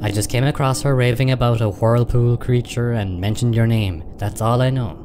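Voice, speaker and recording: A man playing a wary peacekeeper imp in a radio play, with a dry, formal, measured delivery. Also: medium pitch, noisy background, lightly acted. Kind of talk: short radio play acting. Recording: music behind the voice.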